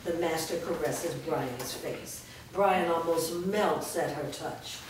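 A woman narrating stage directions in a staged script reading, reading them clearly and evenly. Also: low voice, takes it slowly. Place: large indoor studio room. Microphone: far camera. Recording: echoey room from far off, noisy background.

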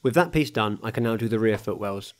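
A man's voice: lowish voice, fairly quick. Man narrating his car restoration. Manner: steady, matter-of-fact explaining of workshop steps. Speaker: host of a car restoration channel. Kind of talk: scripted voice-over narration.

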